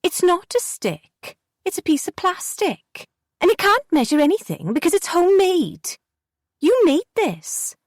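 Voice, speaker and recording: This is a sullen young girl, peevish and argumentative, put on by a woman narrator. The voice sits high and swoops up and down. It is recorded dry and close, with no room sound behind it. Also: very animated, unhurried, no echo, heavily acted. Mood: defiant.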